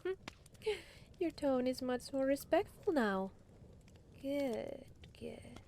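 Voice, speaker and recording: A woman voicing a haughty, teasing demon lord in an ASMR roleplay, condescending and theatrical, with breathy murmurs. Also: high-pitched, lively intonation, slow, warm tone, a little hiss or hum, exaggerated voice. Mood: haughty, regal.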